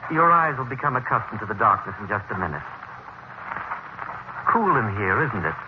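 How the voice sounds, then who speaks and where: A man's voice: fairly low pitch, sing-song, moderate pace. Husband, male: stubborn, trusting, emphatic 1950s radio acting, muffled and lightly noisy recording. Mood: cheerful, affectionate.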